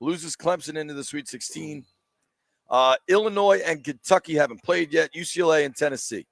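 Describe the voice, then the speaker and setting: Gravelly sports radio host, teasing. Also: male voice, on the high side, dry recording. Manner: joking, teasing, rapid bracket rundown. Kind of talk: casual sports radio banter. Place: sports bar, live broadcast.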